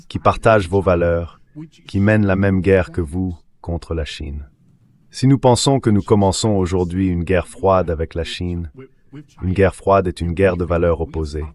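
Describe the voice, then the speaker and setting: A man speaks in a low-pitched voice. His lines are an interviewee's remarks, delivered as a translated voice-over. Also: darkish tone.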